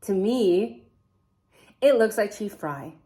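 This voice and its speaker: A woman hosting a true crime vlog speaks slowly and unhurriedly, in English that is not her first language. Her voice sits at an ordinary middle pitch. She chats casually, thinks out loud and keeps asking her viewers what they make of things. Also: expressive. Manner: chatty, wondering aloud, asks viewers questions. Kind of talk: casual to-camera chat.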